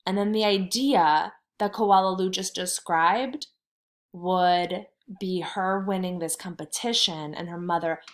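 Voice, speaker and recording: A woman's voice: lowish voice, a little slow. A woman teaching kindergarten through a read-aloud, instructing and thinking aloud in simple words for young children.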